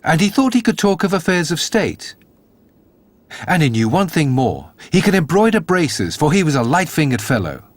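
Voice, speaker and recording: A man's voice: on the high side, big pitch swings, moderate pace, no room sound. A man narrating a scripted fairy tale as a storyteller.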